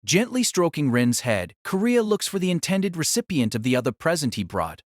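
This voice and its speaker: A man narrating a novel in a solemn, theatrical style with deliberate phrasing. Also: fairly high pitch, sing-song, no room sound, studio quality. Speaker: audiobook narrator. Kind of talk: dramatic scripted novel narration.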